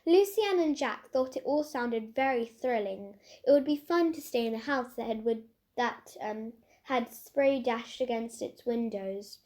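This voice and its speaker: A woman narrates a children's storybook. Her voice is high-pitched and her reading is expressive, like an audiobook. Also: unhurried. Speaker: storybook reader.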